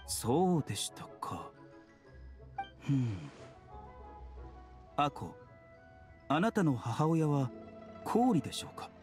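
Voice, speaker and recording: A man playing a courteous, composed doctor in an anime game, speaking gently and formally. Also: on the high side, wildly swooping pitch, slow pace, long pauses, over music, full character voice. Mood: calm. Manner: soft-spoken polite formal phrasing. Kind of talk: Japanese anime game voice acting.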